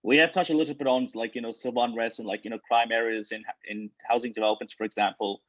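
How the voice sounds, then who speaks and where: Male panel moderator, mid-pitched, formal and wordy, dry but dull webinar sound. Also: fairly even intonation, fast talker, fairly bright tone, no room sound. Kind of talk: moderated panel discussion, question and answer.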